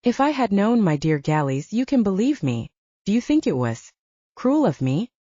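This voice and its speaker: A woman plays a grandiose gentleman scientist for an audiobook, holding forth like an orator. The delivery is effusive and pompous, swooping in pitch with a mellow tone, in a dry, slightly dull recording. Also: big pitch swings, moderate pace, dry recording. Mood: apologetic, chatty. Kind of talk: audiobook character voice.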